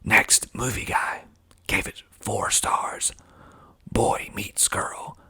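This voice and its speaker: A man's voice: drawn-out words, bright, forceful tone. A man whispering breathily in an ASMR style as he reads aloud, adding wry, understated asides. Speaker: filmmaker and actor reading reviews of own film.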